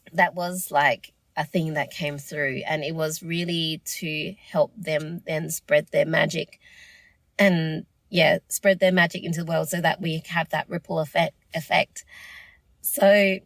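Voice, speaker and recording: A woman's voice: lowish voice, no echo. A woman hosting her own podcast, losing her voice, speaking in an earnest, reflective and encouraging way. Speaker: podcast host. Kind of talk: solo podcast monologue.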